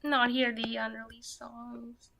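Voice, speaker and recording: A young woman streaming herself while drawing, talking softly and half-whispering, a little nervous, with pen scratching heard behind her. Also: fairly high pitch, flat delivery, takes it slowly, creaky. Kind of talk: casual live-stream chat while drawing. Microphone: desk microphone that picks up pen scratching. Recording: noisy home stream recording.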